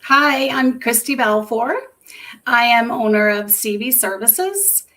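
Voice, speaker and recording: A woman in her fifties with a Georgia Southern accent introduces herself on a video call and laughs easily. Her voice sits a little high. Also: slow pace, bright, forceful tone. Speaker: business owner guest.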